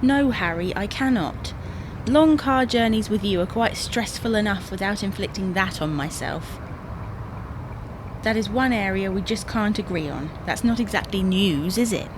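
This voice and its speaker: A British actress playing an exasperated middle-aged wife in a domestic audio sitcom, with dry, bossy, gently teasing delivery. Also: female voice, mid-range voice, normal speed, background noise, close to an everyday voice. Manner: dry, scolding, sarcastic asides.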